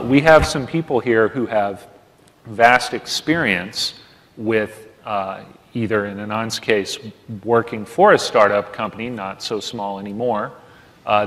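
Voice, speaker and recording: A man moderating a conference panel, speaking deliberately with pauses and fillers. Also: fairly low pitch, fairly bright tone. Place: large conference hall stage. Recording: long hall reverb.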